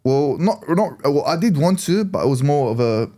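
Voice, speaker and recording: A man in his twenties, a courier from Lebanon who grew up in Australia, answering interview questions in an easygoing, halting way. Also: a little high, expressive, dark tone. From Lebanon, raised in Australia. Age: 20s. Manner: easygoing, laughs along, halting. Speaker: courier, guest.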